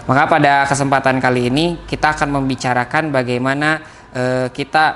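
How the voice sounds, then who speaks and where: A man's voice: medium pitch, little pitch movement, fairly quick, a bit bright, slight background noise. A man hosting a podcast interview, talking with frequent hesitations and small laughs. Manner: hesitant, many "eh" fillers, laughs often. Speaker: podcast host.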